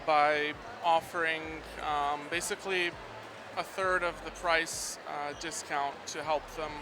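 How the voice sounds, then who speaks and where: A man who breeds geckos answering interview questions at an expo in a hesitant, soft-spoken way with lots of fillers. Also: fairly high pitch, steady tone, slow, noisy background. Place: busy reptile expo hall.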